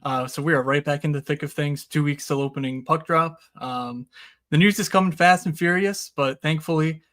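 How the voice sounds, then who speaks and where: A man in a casual podcast chat explains strategy eagerly, with plenty of "uh" and "you know." His voice sits slightly high. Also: steady tone, fairly bright tone. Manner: fast, enthusiastic, explanatory, many fillers. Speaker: recurring guest analyst.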